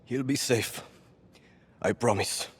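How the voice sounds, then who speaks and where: A man's voice: medium pitch, takes it slowly, breathy edge. An actor playing a tough young male guerrilla fighter, urgent and swearing under pressure. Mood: frantic.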